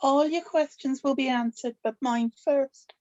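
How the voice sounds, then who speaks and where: High-voiced, gentle older grandmother, played by an actress. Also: fairly even intonation, a little slow, muffled recording. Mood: firm, guarded. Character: older grandmother, gentle but secretive and firm. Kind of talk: scripted table-read acting.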